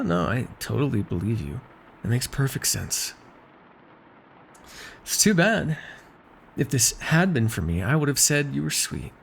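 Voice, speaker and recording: A man's voice: medium pitch, a little hiss or hum. A man playing a bossy but caring vampire in an ASMR roleplay, teasing and wry, speaking naturally with small hesitations. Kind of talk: ASMR roleplay, one-sided dramatic dialogue.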